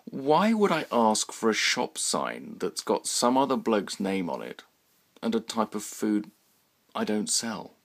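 A man's voice: on the low side, big pitch swings, unhurried, creaky, pitched above the performer's own voice, heavily acted. A man voicing an aggrieved but polite baker in a story reading, reasonable and plaintive. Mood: calm protest.

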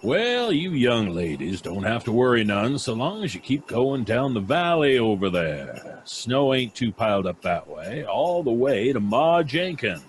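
A man's voice: medium pitch, very animated, measured pace, few pauses, a little hiss or hum. A man playing a friendly, folksy rural local in old-time radio drama style. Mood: neighbourly.

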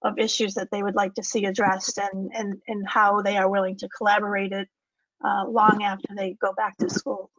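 A woman giving a measured report as a school board chair in an online meeting. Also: mid-range voice, background noise. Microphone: video call. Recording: dry, dull video-call audio.

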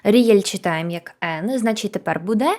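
A woman teaching a language lesson in an animated, chatty, encouraging way with emphatic repetition. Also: medium pitch, normal speed. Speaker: Korean language teacher and video host. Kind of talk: conversational language lesson to camera.

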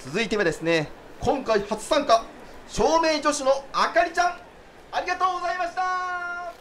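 A male theatre staffer addresses a cast party in a radio drama. His voice is very high and bright, projecting formal announcements over faint background noise. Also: expressive, moderate pace. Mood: announcing, festive. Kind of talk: ceremonial speech in a radio drama.

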